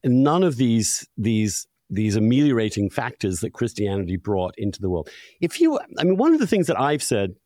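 Guy hosting a podcast interview, mid pitch, emphatic, repeats phrases to build a point, dry audio. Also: very animated, moderate pace, mellow, no room sound. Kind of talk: discursive interview conversation.

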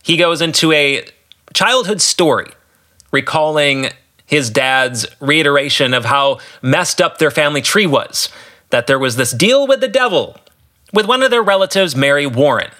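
A man's voice: a little high, expressive, normal speed, bright, forceful tone. A man with an American accent giving conversational commentary on his own story as a podcast host and author, with emphatic, storyteller-like phrasing. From West Michigan, United States.

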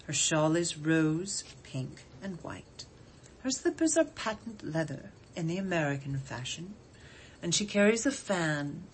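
A woman reading a poem aloud in an expressive literary style, with measured, deliberate phrasing. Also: on the low side, poor audio. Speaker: poetry reader. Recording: faint background noise.

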